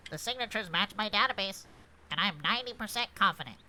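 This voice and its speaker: A woman's voice: mid-range voice, lively intonation, fast talker, bright, forceful tone. A woman playing a dutiful droid co-pilot in a script read, giving formal, precise status reports. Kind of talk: fan script table read, play-by-play reporting. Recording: clear background noise.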